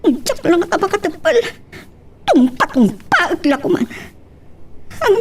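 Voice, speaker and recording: A woman's voice: sits high, darkish tone. A woman playing a scheming, money-minded, needling woman in an audio drama, with an Ilocano accent. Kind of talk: amateur audio drama acting.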